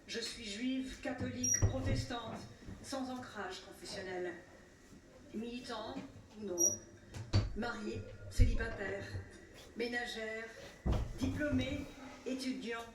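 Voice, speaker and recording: Slow, solemn woman orator in a roomy space. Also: a little high. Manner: measured, declamatory, with rhetorical repetition and pauses. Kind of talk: solemn scripted commemorative speech. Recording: roomy, distant mic with faint noise.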